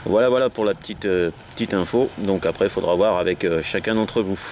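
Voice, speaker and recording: Low-voiced rambling French man on radio. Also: a little fast, a little mellow. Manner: rambling, hesitant, repetitive. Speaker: amateur CB radio operator leading a round of contacts. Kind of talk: casual radio chat. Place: outdoors on a low wall at the end of a sea dike. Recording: faint ambient noise, outdoor portable radio setup.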